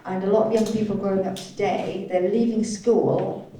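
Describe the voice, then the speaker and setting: A woman's voice: mid-range voice, fairly even intonation, normal speed, dark tone. A woman working as a live sign language interpreter, voicing speakers in a panel discussion. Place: echoey event room, indoors. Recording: very echoey hall, distant mic, faint noise.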